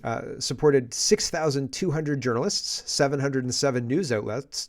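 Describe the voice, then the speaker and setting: A Canadian man with a medium-pitched voice hosts a political podcast. He comments on the news casually and bluntly, in everyday slangy language, with open scorn.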